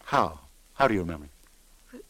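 An actor playing a tough but soft-hearted New York police lieutenant in 1950s radio crime drama, halting and wry. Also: male voice, low voice, very animated, husky, dry recording, heavily acted. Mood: reluctant.

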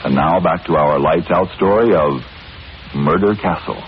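A man's voice: low-pitched, moderate pace. A man announcing and advertising in the style of 1940s radio. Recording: muffled, hissy old radio recording.

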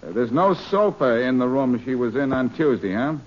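Man acting a wisecracking detective, lively swooping pitch. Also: lightly acted. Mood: brusque, probing. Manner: sardonic, swaggering, drawn-out questioning. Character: wisecracking, cocksure male detective on a murder case. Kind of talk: 1940s radio drama acting. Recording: muffled, noisy old-time radio recording.

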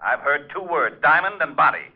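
Gruff, hot-tempered police lieutenant. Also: male voice, fairly high pitch, animated, moderate pace. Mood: irate, impatient. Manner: barking, impatient, bossy. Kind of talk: 1950s radio detective drama acting. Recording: dull vintage radio recording.

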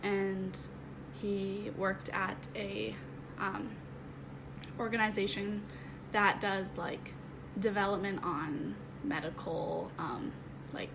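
A woman's voice: mid-range voice, fairly even intonation, slow, long pauses. A nineteen-year-old woman chatting as a podcast guest, friendly and a bit hesitant, with many ums. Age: teens. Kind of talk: casual chat. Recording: clear background noise.

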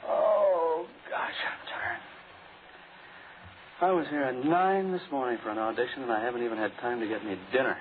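An actor playing a tired, wisecracking American radio player in a 1940s radio drama, griping in sardonic slang. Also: male voice, mid-range voice, moderate pace, long pauses, narrow, tinny audio, close to an everyday voice. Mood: grumbling. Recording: thin, hissy 1940s radio recording.

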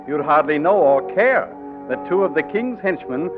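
An actor narrating a romantic adventure as a genial, measured storyteller in 1940s radio drama style. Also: male voice, a little high, expressive, close to an everyday voice. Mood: inviting. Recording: muffled old radio broadcast, music behind.

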